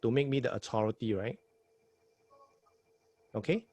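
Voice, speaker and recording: A man's voice: medium pitch, expressive, moderate pace, darkish tone, dry recording. A man from Singapore with a Singaporean English accent, giving casual advice as a podcast host and punching points with repeated "right?" tags. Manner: emphatic, repeats words, tag questions like "right?". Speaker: podcast host advising a new host.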